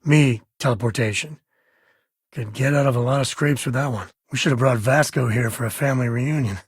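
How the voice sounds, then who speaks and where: A husky-voiced male space explorer for a video game, wry and good-hearted. He talks in a casual, folksy way with dry sarcasm, at a middling pitch. The recording is close and dry, with no room sound. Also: fairly quick, no echo. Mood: lighthearted, teasing. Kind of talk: video game character voice lines.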